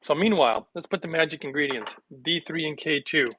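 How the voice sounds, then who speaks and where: A middle-aged man chatting casually with livestream viewers while making a smoothie in his home kitchen, rambling from one thought to the next. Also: a little high, fairly quick, a bit bright, slight background noise, poor audio. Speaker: wellness vlogger.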